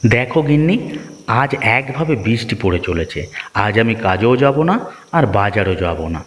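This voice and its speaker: A man's voice: medium pitch, sing-song, normal speed, long reverb tail, a little hiss or hum, natural voice. A man voicing a lazy, grumpy, sleepy village husband in a children's cartoon, complaining in an exaggerated way. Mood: irritated, sleepy. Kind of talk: children's moral story cartoon dubbing.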